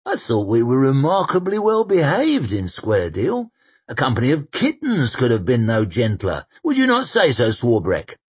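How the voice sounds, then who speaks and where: A man's voice: mid-range voice, huge pitch swings, moderate pace, slightly rough, pitched above the performer's own voice. A man voicing an old general who drawls dry, mocking remarks. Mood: wry. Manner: drawling, sardonic.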